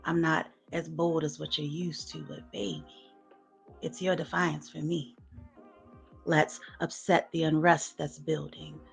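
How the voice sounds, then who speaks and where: A woman performing sensual spoken word poetry in a soft, smooth, hushed voice. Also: low-pitched, flat delivery, faint music behind. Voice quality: soft, smooth, breathy-edged voice. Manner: hushed, slow sensual delivery with soft laughs in chat. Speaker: guest poet.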